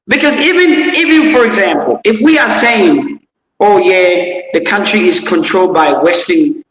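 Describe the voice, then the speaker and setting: High-voiced man on an echoey call. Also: animated, normal speed, a bit bright. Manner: repetitive, earnest, restarts often. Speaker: livestream guest. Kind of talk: casual livestream discussion. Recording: echoey remote call from far off.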